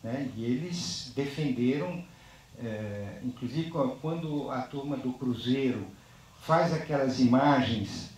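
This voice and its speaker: A man's voice: mid-range voice, darkish tone. Distant, echoey man on a panel. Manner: halting, reflective, tag questions after most phrases. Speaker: filmmaker speaking on a post-screening panel. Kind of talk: unscripted panel discussion.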